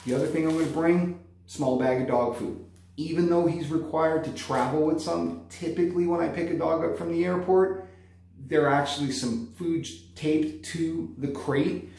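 A man's voice: fairly high pitch, fairly even intonation, normal speed, vocal fry, a little mellow. Man, 30s, dog trainer, instructional vlog, tags points with okay, strongly echoey room. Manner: practical, tags tips with "okay?". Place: indoor room by a window.

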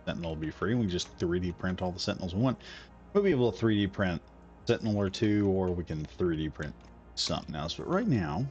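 A man's voice: deep voice, lively intonation, fast talker, darkish tone. A man streaming a building game, rambling casually and talking himself through each step as he builds. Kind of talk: casual gameplay commentary. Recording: slightly dull audio with faint music.

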